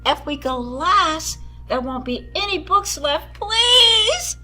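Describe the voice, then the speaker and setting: A woman's voice: very high-pitched, big pitch swings, slow, sharp tone, faint music behind, pitched above the performer's own voice, full character voice. A woman voicing an eager schoolboy bookworm in a dramatic, begging manner for a storybook. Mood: pleading.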